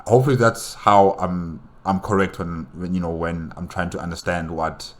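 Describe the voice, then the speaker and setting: A man with a very low voice gives casual commentary as host of a reaction video. He speaks haltingly, repeating words as he works through his thoughts out loud. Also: steady tone. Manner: halting, repeats words while reasoning aloud.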